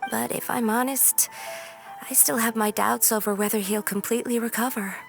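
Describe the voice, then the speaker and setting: A woman's voice: on the high side, fairly even intonation, normal speed, vocal fry, over music. A woman playing a worried, earnest young martial disciple in a video game, speaking in a troubled way.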